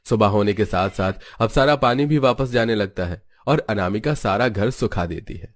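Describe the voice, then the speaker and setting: A man's voice: lowish voice, normal speed, no room sound, clean studio sound. A man giving scripted narration of a story.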